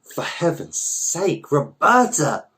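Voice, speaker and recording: Man putting on a high, gushing, glamorous young woman. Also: sing-song, slow pace, fairly bright tone, exaggerated voice. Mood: surprised, gushing. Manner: exclaiming, singsong, gushing. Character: glamorous, breezy, excitable well-off young woman, an old acquaintance. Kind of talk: storybook character voice in a read-aloud.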